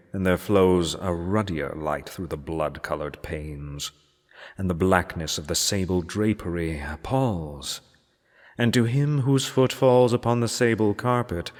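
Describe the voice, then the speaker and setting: A man reading gothic horror fiction aloud as a dramatic narrator, in a theatrical, measured manner with deliberate pauses. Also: deep voice. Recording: long cavernous reverb.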